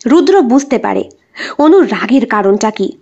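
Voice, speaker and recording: Woman narrator doing an audio story, higher pitched, takes it slow, sound a bit dull. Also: expressive, mellow.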